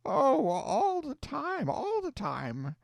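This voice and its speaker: A man's voice: on the high side, sing-song, measured pace, darkish tone, no echo, clean studio sound, pitched above the performer's own voice. A man voicing a grieving older aunt who speaks tearfully and hesitantly.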